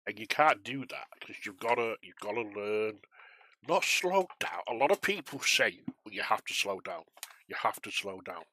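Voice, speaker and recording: A man's voice: on the low side, lively intonation, normal speed, pushed and bright. A man chatting casually on a flight simulation stream, rambling and self-deprecating as he talks to viewers while controlling air traffic.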